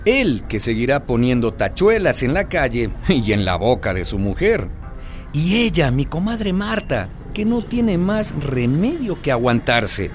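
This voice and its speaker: A male narrator tells a radio drama in a folksy, wry way. His pace is slow, his intonation very lively, and soft music plays underneath. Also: mid-range voice. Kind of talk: expressive storytelling narration.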